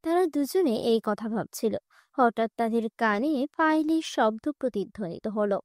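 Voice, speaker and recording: A woman's voice: fairly high pitch, lively intonation, normal speed, no echo, studio quality. A woman storyteller giving scripted fairy-tale narration for a children's cartoon.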